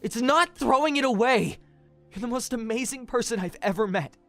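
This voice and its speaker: A performer playing an earnest, gentle, self-sacrificing young man in an audio drama, with heartfelt delivery. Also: mid-range voice, normal speed, soft background music, lightly acted. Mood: apologetic, earnest.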